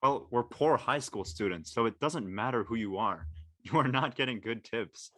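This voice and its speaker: A man playing a brash, sarcastic and impatient high school student in a drama read. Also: normal speed, fairly bright tone, natural voice. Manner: blunt, sarcastic.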